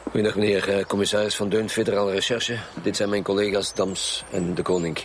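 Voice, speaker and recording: Actor as a gruff Flemish police commissioner, low-pitched. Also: male voice, steady tone, a little fast, slight background noise, close to an everyday voice. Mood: businesslike, dry. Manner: bossy, dry. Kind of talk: naturalistic radio drama dialogue.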